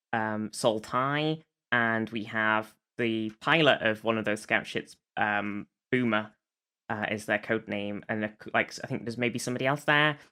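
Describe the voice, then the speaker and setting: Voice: mid-range voice, bright, forceful tone, clean tone. A podcast host recapping a show in casual conversation, feeling for words along the way.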